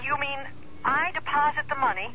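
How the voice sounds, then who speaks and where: A meek, nervous wife in an old radio play. Her voice is high and bright, hesitant and submissive, on a dull, faintly noisy broadcast recording. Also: moderate pace. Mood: meek, uncertain. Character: anxious, meek, obedient wife drawn into a swindle. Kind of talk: old-time radio drama acting. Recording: dull vintage radio sound, faint noise.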